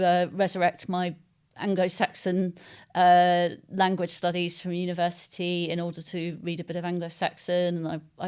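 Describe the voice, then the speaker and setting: Female interviewee, writer. Lowish, smooth, resonant voice, reflective conversational delivery with searching hesitations. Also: a little mellow. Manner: thoughtful, halting, searching for words. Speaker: interviewed novelist. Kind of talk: literary interview, unscripted conversation.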